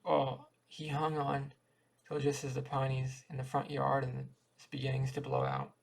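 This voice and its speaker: A man with a heavily fried, husky voice reads an old folksy tale aloud. He is an amateur narrator who trips on words and doubles back, at a middling pitch. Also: low-quality recording. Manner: stumbles over words and repeats himself. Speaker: amateur audiobook reader. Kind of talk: reading a short story aloud, folksy dialect narration.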